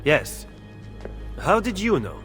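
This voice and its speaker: An actor playing a calculating, stern Italian political adviser in a video game, formal and insistent. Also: male voice, a little high, wildly swooping pitch, slow, fairly bright tone, soft background music, exaggerated voice. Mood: curious. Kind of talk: video game cutscene acting.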